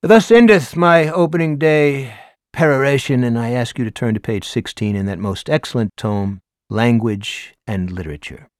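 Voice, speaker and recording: A man voicing a theatrical, flamboyant high school English teacher who declaims and lectures with grand emphasis. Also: normal speed, no echo, clean studio sound, natural voice. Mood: declaiming. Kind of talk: audiobook character voice.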